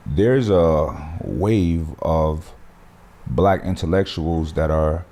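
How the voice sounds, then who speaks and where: A man with a low, dark voice holds forth on his podcast. He speaks in an animated, emphatic way, full of street slang and cursing. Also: very slow. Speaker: podcast host. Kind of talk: casual podcast conversation.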